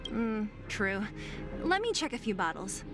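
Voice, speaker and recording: A woman's voice: medium pitch, very animated, measured pace, over music. An actress voicing a brash, tough young woman fighter in a game cutscene, blunt and teasing. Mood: nostalgic. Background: game score.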